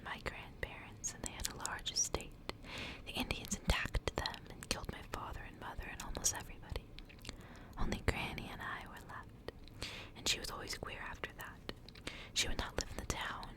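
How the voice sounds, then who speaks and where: A woman's voice: natural voice, rough recording. Asmr lady doing a scared frail girl accused of witchcraft, faint pleading whisper, storybook style. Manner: murmuring, pleading. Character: frail, frightened young girl condemned as a witch.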